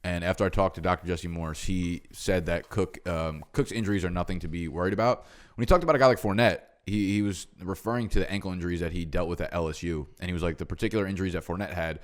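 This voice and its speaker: A man with a low, deep voice co-hosts a fantasy football show. He talks loosely and rambles, with plenty of swearing. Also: steady tone, fast. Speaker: podcast co-host. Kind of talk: casual fantasy football chat.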